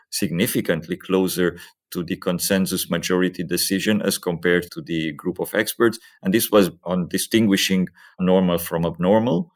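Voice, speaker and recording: A man with a low-pitched voice speaks as a medical researcher in an interview. He explains technical work step by step, in a methodical, orderly way.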